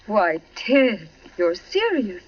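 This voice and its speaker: Smooth guarded wife, old 1940s radio drama, average pitch for a lady, vintage dull sound. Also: big pitch swings, takes it slowly. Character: poised young suburban wife, smoothly evasive, secretly uneasy.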